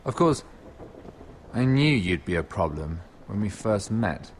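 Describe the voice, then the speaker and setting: An actor playing a scheming, wealthy merchant lord in a story game, with silky, mocking, falsely friendly speech. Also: male voice, lowish voice, sing-song, unhurried, slight background noise. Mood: menacing. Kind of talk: video game voice acting.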